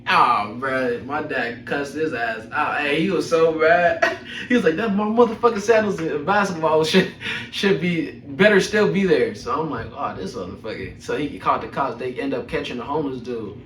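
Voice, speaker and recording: A man's voice: fairly high pitch, moderate pace, fairly bright tone. Echoey young man telling casual stories. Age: young adult. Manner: slangy, profane, chuckling as he talks. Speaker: storyteller host of a personal podcast. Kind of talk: casual personal storytelling. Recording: echoey room, distant mic, faint noise.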